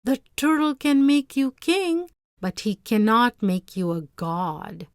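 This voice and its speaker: A woman's voice: a little high, big pitch swings, slow, dry recording, studio quality, voice pushed up, full character voice. A woman voicing a humble, fearful fisherman in a storybook, pleading earnestly. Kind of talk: storybook read-aloud character voice.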